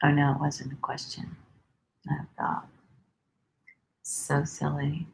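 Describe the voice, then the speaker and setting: A woman chatting casually on a livestream about wellness, speaking softly and rambling with many pauses and ums. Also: sits low, gravelly, slight background noise, low-quality recording. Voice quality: calming voice. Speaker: wellness vlogger.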